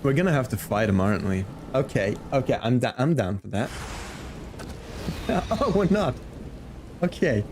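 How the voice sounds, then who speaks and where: A man's voice: mid-range voice, animated, moderate pace, long pauses, background noise. A man streaming a game with casual, muttered commentary and reactions.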